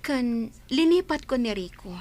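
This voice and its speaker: A woman's voice: on the high side, expressive, unhurried, darkish tone. A woman playing a jilted young expectant mother in a radio drama, wounded and resigned. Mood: defensive. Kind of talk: Ilocano radio drama acting.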